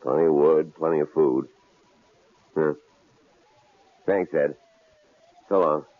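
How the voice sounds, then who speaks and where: An actor playing a shrewd, calm middle-aged psychology professor who posed as a killer, in an old radio drama, with a very deep, gravelly voice. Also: male voice. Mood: breezy. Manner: calm, measured, dryly amused. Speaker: university psychology department head. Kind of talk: 1950s-70s radio drama acting. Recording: tinny, lo-fi old radio recording with faint hiss.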